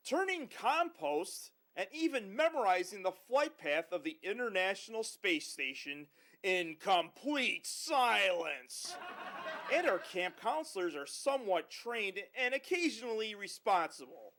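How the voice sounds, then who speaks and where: A man playing a cheery pitchman narrating a spoof advert for a miserable summer camp. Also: sing-song, unhurried, fairly bright tone, heavily acted. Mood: mock cheerful.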